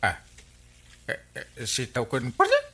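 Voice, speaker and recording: A man's voice: medium pitch, huge pitch swings, unhurried, a bit bright, full character voice. A man with an Ilocano Filipino accent playing a folksy, exasperated village captain in a radio comedy drama, with hesitant interjections. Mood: puzzled, curious.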